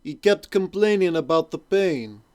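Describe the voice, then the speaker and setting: A man's voice: high voice, animated, unhurried, darkish tone. A man playing a flustered, defensive pirate crewman in an audio drama. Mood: explaining.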